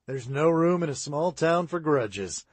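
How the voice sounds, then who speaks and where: A man's voice: a little high, animated, unhurried, no room sound. A man doing a good-natured uncle from a storybook, folksy and a bit dismissive, with a voice that rises and falls freely. The tone carries a touch of huskiness. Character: kindly uncle, grown man, brushing off teasing.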